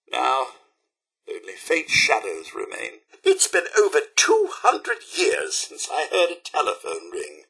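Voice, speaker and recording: An actor playing a polite, fussy, wistful robot butler in a video game, with a British accent and a genteel, theatrical manner. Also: male voice, mid-range voice, pushed and bright, husky, full character voice. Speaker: companion character in a video game. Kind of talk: scripted game voice lines.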